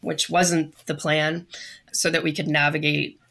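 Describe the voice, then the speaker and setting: A middle-aged woman with a Canadian accent, telling her story in a measured, reflective, conversational way as an interview guest. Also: low voice, little pitch movement, vocal fry, fairly bright tone. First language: English.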